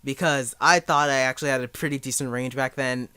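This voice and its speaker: A man's voice: mid-range voice, steady tone, fairly quick, bright, forceful tone, clean tone, no room sound. A young man giving a casual spoken tutorial in a rambling, jokey, self-deprecating way. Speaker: amateur voice actor giving beginner advice.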